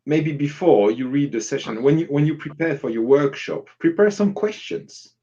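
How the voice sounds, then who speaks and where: A man's voice: fairly high pitch, animated, normal speed, mellow, highs cut off. A man giving informal, explanatory advice as a workshop facilitator in a live online discussion, illustrating points with example questions.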